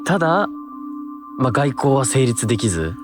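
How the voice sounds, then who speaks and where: A man's voice: medium pitch, sing-song, normal speed, over music. A man co-hosting a paranormal investigation outdoors at night, chatting casually but politely, full of questions and exclamations. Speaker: paranormal video co-host. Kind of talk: casual reactive chat, junior-style polite speech.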